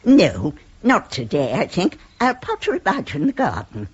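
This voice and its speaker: A woman's voice: fairly low pitch, wildly swooping pitch, moderate pace, husky, heavily acted. An actress playing a genteel, spirited elderly mother with an upper-class English accent in a British radio drama. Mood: gracious, delighted. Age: 80s. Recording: dull, band-limited radio recording.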